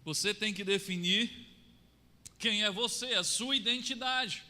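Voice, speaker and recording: A Brazilian man preaching a sermon, swinging between raised lines and low, quiet drops for emphasis. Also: measured pace. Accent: Brazilian Portuguese. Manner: rises and falls, drops low to stress points. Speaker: pastor. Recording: long reverb of a church hall.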